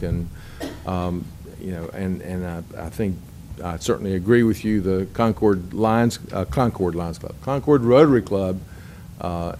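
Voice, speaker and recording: A man chairing a county government meeting, speaking haltingly with frequent restarts and fillers. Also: fairly low pitch, darkish tone, slight background noise. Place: county board meeting room.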